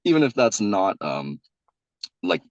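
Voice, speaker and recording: A young man, a singer-songwriter guest, talking loosely in a casual interview, with a loud voice and lots of restarts and "like.". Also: sits low, very animated, measured pace, dry recording, muffled recording. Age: young adult. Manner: rambling, many restarts and "like".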